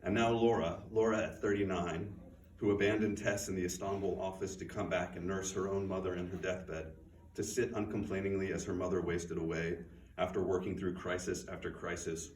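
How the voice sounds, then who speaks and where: A man, an author, reading his own fiction aloud at a bookstore event in a measured, subdued way. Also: low voice, flat delivery. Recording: roomy, distant, faint noise.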